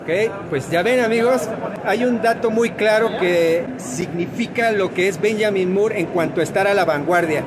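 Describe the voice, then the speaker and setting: A man hosting a casual trade fair video, excitedly pointing things out to viewers. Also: sits high, normal speed. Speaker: vlogger, host. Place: busy trade fair hall. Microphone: handheld phone camera. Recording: loud crowd noise around.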